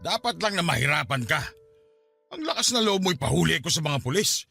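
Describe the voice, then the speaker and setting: Sneering, gravelly abusive husband. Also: male voice, fairly high pitch, big pitch swings, brisk, bright, forceful tone, soft background music, full character voice. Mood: taunting. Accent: Filipino. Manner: taunting, sneering laughs between lines. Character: lazy, menacing, abusive husband, sneering and proud. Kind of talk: emotional Filipino radio drama acting.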